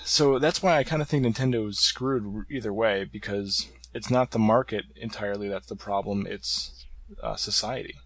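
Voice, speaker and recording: A man's voice: lowish voice, moderate pace, fairly bright tone. A man in casual podcast chat, speaking hesitantly and searching for words with many "uh"s and "um"s.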